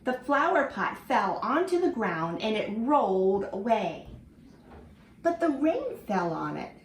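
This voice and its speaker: A woman teacher reading a picture book aloud to children in an animated way, stopping to ask them questions. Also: on the high side, measured pace, slight background noise. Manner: animated, asks the listener questions. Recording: echoey room with faint ambience.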